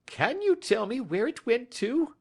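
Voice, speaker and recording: A man voicing a nervous little hotel attendant, with a high, thin, quavering voice in an audiobook reading. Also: sing-song, unhurried, gravelly, heavily acted. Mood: frightened, eager. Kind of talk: audiobook character voice.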